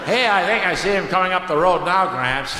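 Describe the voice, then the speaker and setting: A noisy old radio comedy recording in a reverberant space. A man voices an earnest little boy, higher in pitch, gushing with loyal optimism and wholesome gee-whiz innocence. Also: animated, fairly quick. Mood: earnest, hopeful.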